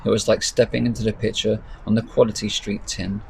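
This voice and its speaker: A man reading his own story as dramatic narration, with measured, deliberate pauses. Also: on the low side, level tone, gravelly, slightly rough, rough recording. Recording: faint background noise.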